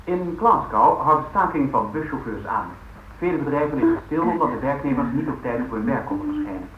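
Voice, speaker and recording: An actor playing a brisk, formal male newsreader giving a scripted bulletin in a radio drama. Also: medium pitch, lively intonation, natural voice. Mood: formal, factual. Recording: muffled, noisy, echoey old radio.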